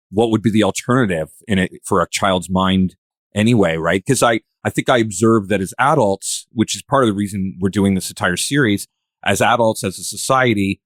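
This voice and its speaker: A man's voice: fairly low pitch, dry recording. A man hosting a casual panel discussion, feeling his way through sentences with repeated restarts. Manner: halting, searching phrasing with repeated restarts. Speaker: podcast host.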